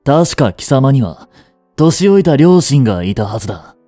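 A man's voice: medium pitch, sing-song, normal speed, dark tone, no room sound, soft background music. A man playing a cold, haughty young prince in a romantic audio drama, curt and imperious but secretly protective. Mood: stern, bitter.

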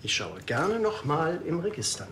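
An actor playing a slightly weary town hall official, wry and businesslike, in a TV crime comedy. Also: male voice, on the high side, big pitch swings, normal speed, fairly bright tone, a little hiss or hum.

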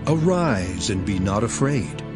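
An actor playing an earnest, authoritative teacher with solemn, declamatory delivery in a dramatized Bible reading. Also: male voice, lowish voice, sing-song, measured pace. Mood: solemn teaching. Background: music.

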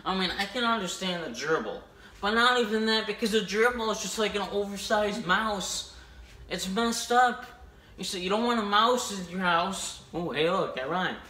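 Young guy rambling jokes at a phone cam, bright voice, echoey kitchen. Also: high-pitched, normal speed, recorded from a distance. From United States. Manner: rambling, chatty, stumbles over words. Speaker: comedy vlogger. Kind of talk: casual stand-up jokes to camera. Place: home kitchen, indoors. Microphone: phone camera a few steps away.

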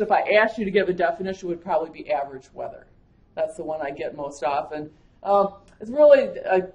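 A woman's voice: medium pitch, darkish tone, dull audio. A woman with a Wisconsin accent, giving a conversational conference lecture as an agricultural climatologist, with frequent fillers. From Michigan, USA. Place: conference room. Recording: echoey lecture room.

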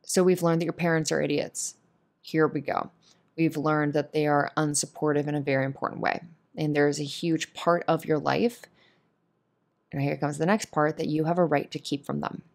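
Earnest woman with a lowish voice. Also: fairly even intonation, moderate pace, creaky, darkish tone. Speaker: voice actor giving advice to a listener. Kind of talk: casual, comforting advice monologue in an ASMR roleplay.

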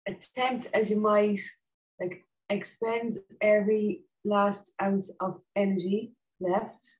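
A woman reading her own poem on a video call in a plain, halting way. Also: medium pitch, low-quality recording. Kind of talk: poetry reading.

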